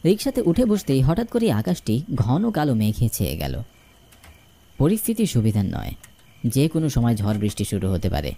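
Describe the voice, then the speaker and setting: A man narrating a scripted story in the first person, expressive and dramatic. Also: medium pitch, normal speed. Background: music.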